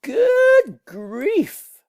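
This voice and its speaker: A man with a Scottish accent voicing snooty, squabbling beach creatures from a children's story, declaiming loudly and indignantly. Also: extremely high pitch, huge pitch swings, very slow, gravelly, dry recording, studio quality, voice pushed up, full character voice. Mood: indignant boasting.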